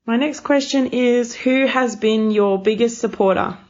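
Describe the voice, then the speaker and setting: A woman's voice: a little high, a little slow, a little mellow, muffled recording. A woman hosting a casual interview, talking in an encouraging, rambling, conversational way.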